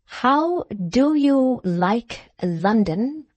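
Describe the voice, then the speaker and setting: A woman voicing a pompous, condescending middle-aged Englishman who talks slowly and over-explains, as to a deaf child. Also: on the high side, expressive, darkish tone, no room sound, voice pushed up, full character voice.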